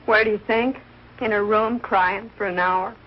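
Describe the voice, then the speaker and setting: A woman's voice: medium pitch, fairly even intonation, moderate pace, natural voice. An actress playing a firm, understanding housewife and mother in a 1940s sitcom. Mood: firm, concerned. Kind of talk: 1940s American sitcom acting. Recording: dull old broadcast, faint hiss.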